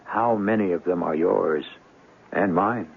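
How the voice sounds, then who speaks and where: A man's voice: deep voice, unhurried, gravelly, a little hiss or hum. A man narrating a 1970s radio mystery as its host, in an ominous, confiding, scripted style. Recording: lo-fi old radio broadcast. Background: loud dramatic music.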